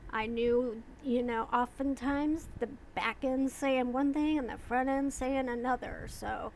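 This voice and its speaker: A woman vlogger talking casually and slowly, rambling with pauses and restarts. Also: sits high, fairly even intonation, a little mellow, slight background noise, low-quality recording. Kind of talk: casual talk to camera.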